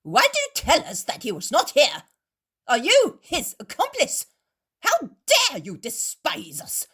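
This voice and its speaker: A woman's voice: sits high, pitched above the performer's own voice, full character voice. A woman reading a story aloud, voicing proud male Pharisees who berate the host, then turn falsely pious. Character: two proud, vainglorious male Pharisees, angry then fawning.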